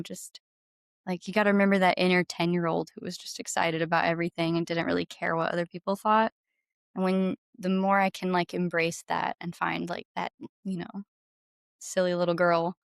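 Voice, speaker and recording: A woman talks casually as a guest in an interview, with plenty of creak in her voice. Her manner is informal and playful. The recording is dry and free of room sound. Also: lowish voice, no room sound. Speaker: podcast guest, musician. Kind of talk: casual chat.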